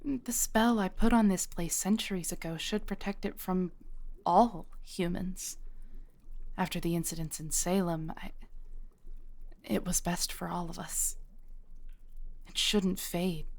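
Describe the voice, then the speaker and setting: A woman playing a patient, knowing witch shopkeeper, centuries old, in an audio roleplay, with a conversational, pausing delivery. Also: fairly low pitch, steady tone, vocal fry. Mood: pensive, puzzled. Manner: unhurried, pausing, sighing, conversational.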